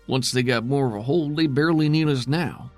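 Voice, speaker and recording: A man's voice: mid-range voice, brisk, faint music behind, natural voice. A man voicing a weary, defiant male settlement leader in a tabletop game, with folksy, plainspoken, skeptical phrasing. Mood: grimly defiant.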